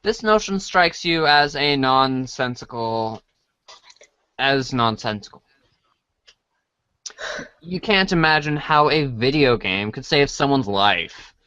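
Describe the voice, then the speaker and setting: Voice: mid-range voice, expressive, slow pace, pauses often, bright, forceful tone, smooth, no room sound. A person reading a comic script aloud as storybook narration, sometimes stumbling over lines and apologizing. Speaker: reader of a read-along storybook show.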